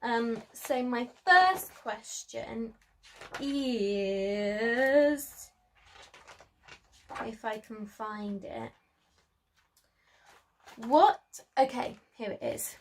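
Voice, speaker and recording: A woman speaking to young children as a preschool storyteller, with expressive emphasis and simple phrasing. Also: a little high, drawn-out words, long pauses, slight background noise.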